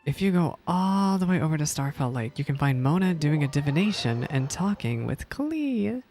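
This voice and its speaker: A woman's voice: deep voice, sing-song, moderate pace, mellow, faint music behind. A woman chatting casually and playfully as she reacts to a video game she is playing. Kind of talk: casual gameplay reaction commentary.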